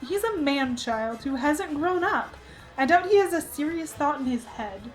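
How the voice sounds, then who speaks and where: A woman acts out an outspoken female newspaper reporter in a radio drama. Her voice is high, her delivery sharp-tongued and skeptical, with music underneath. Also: expressive, normal speed, low-quality recording. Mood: poised, skeptical. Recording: music behind.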